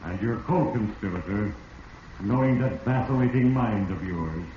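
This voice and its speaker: An actor playing the ominous voice of Fate, narrating a 1940s radio drama solemnly and deliberately to a doomed schemer. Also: male voice, lowish voice, close to an everyday voice. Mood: foreboding. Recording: muffled, hissy 1940s radio, heavy echo.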